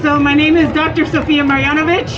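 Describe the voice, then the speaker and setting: A scientist and activist from Fort Peck, Montana, giving a personal speech at an outdoor protest, often halting and restarting. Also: high voice, level tone. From Fort Peck, Montana, United States. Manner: halting, with frequent restarts and fillers. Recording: dull lo-fi outdoor recording. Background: crowd and music.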